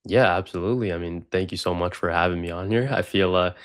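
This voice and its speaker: A man's voice: low voice, quick pace, clean tone, no room sound, studio quality. A man in his twenties who grew up in Sri Lanka, a podcast guest telling his story casually, full of fillers and restarts. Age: 20s. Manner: rambling with many fillers and restarts.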